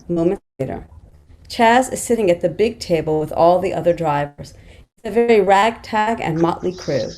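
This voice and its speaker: A woman's voice: on the low side, a little mellow. A woman reading scene descriptions as scripted narration at a table read. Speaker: stage-direction reader.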